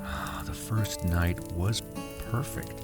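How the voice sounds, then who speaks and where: A man playing a weary husband and father, narrating a horror audio drama in the first person with dramatic pauses. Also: low-pitched, steady tone, over loud music. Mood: wistful, relieved. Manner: slow, dramatic storytelling with heavy pauses. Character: weary husband and father recounting a terrifying cabin getaway. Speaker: narrator and actor.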